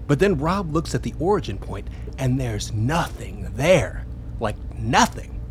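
A man's voice: mid-range voice, big pitch swings, unhurried, slight background noise. A man playing an enthusiastic, sweary hacker researcher in a radio play, explaining things casually. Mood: excited, geeky. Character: excitable, laid-back hacker researcher, obsessed with mysterious transmissions.

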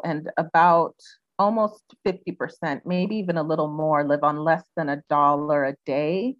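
A woman's voice: lowish voice, no room sound, muffled recording. A young woman raised in the United States, speaking reflectively as a guest in a casual interview, with many "um"s and "you know"s.

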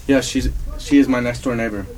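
A man's voice: medium pitch, steady tone, brisk, darkish tone, lightly acted. A man playing a hapless, protesting murder suspect in an amateur old-time radio drama, on a noisy recording. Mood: sheepish. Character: hapless cheating neighbor, a murder suspect under questioning.

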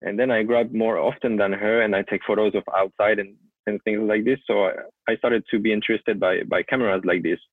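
A young French man with a French accent, a photographer telling his story in a casual interview, often halting and repeating words as he searches for English. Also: on the low side, steady tone, breathy edge, no room sound. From France. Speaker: guest photographer. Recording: tinny call audio, dry.

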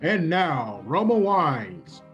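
Highish male voice, slow and animated, actor as showy old-time radio announcer, music behind. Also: dull audio, full character voice. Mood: showy, grand. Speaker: sponsor announcer. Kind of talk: classic radio advert announcing.

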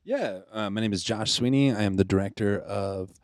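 A man's voice: on the low side, moderate pace, no room sound, clean studio sound. A man in his thirties from Florida, a youth ministry director, speaking earnestly and plainly as he introduces himself and reads his reflection. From Pensacola, Florida. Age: 30s. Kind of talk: casual chat, then reading a reflection.

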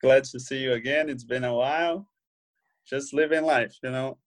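A man's voice: medium pitch, normal speed. Brief: young Brazilian man, Portuguese-accented English, easygoing interview guest, laughs easily. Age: young adult. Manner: relaxed, many "you know" fillers, laughs easily. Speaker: guest, professional footballer. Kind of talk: casual interview chat.

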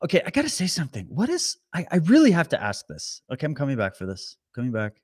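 Guy doing an animated sarcastic rant to viewers, kinda higher voice. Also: quick pace, slightly rough. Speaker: commentary host. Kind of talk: ranting political commentary.

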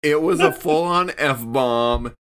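A man's voice: on the high side, slow, fairly bright tone. A man casually narrating what his tabletop game character thinks and does.

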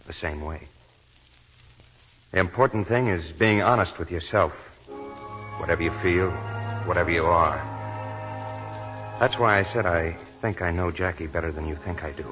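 An actor playing an earnest, thoughtful army lieutenant in 1940s radio drama, speaking sincerely and reflectively. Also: male voice, sits low, moderate pace, long pauses, a bit bright, faint music behind, rough recording.

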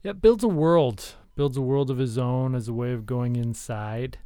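A man with a clear, resonant voice and a middle pitch speaks as a podcast co-host. His delivery is earnest and deadpan, with halting stops and starts, as he plays comedy straight. The sound is dry, with no room echo. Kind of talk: deadpan comedic screenwriting talk.

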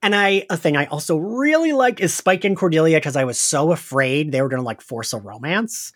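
A man's voice: on the high side, animated, brisk. A man co-hosting a podcast in casual chat, reacting and talking in a chatty way. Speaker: podcast co-host.

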